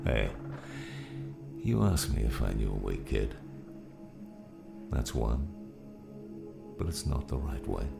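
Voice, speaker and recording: An actor playing a gruff, fatherly old mentor, weary and wise, in a slow audiobook drama performance with a gravelly voice. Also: rumbling low, sing-song, full character voice. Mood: fond, wry. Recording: music behind the voice.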